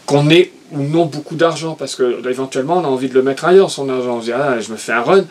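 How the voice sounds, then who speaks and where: A middle-aged man co-hosting a casual chat, talking in an animated, opinionated way while gesturing. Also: mid-range voice, fast. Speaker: co-host, father. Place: room with shelves of toys and merchandise.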